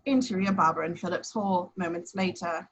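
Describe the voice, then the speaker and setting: A woman's voice: fairly low pitch, brisk. A woman reading out scripted action descriptions as narrator at an amateur table read.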